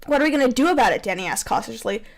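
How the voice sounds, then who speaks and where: A woman's voice: fairly high pitch, expressive, racing, creaky, a crowd in the background. A woman reading fan fiction aloud with playful banter, theatrical, bossy and giggly, on a poor amateur microphone. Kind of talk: playful read-aloud mixed with casual banter.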